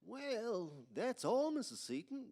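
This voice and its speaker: A man playing a folksy local telling a witch legend in old-time radio horror, halting and ominous. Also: very high voice, big pitch swings, darkish tone, exaggerated voice. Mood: ominous, hesitant.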